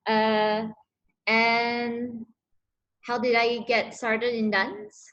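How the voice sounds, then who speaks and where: Young female dancer, higher register, relaxed and chatty over a video call with frequent fillers. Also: very slow, dull audio. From Malaysia. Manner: relaxed, laughs often, many fillers. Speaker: interviewee, dancer. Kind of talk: casual interview answers.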